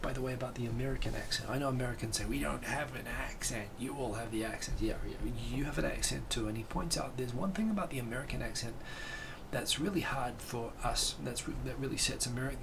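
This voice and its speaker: A man's voice: medium pitch, creaky, noisy background. A man, hosting a livestream from a home room and chatting casually in a rambling way. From New Zealand. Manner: rambling, animated hand gestures. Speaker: livestream host. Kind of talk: casual chat.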